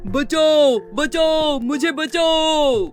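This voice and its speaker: A man's voice: very high-pitched, drawn-out words, soft background music, exaggerated voice. A man voicing a frightened, pleading villager in a cartoon, exclaiming in a panicky way. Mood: desperate calling.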